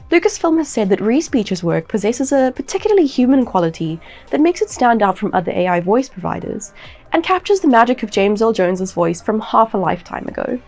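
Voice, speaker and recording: Lively woman presenting scripted news. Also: mid-range voice. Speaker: presenter of a weekly tech news roundup.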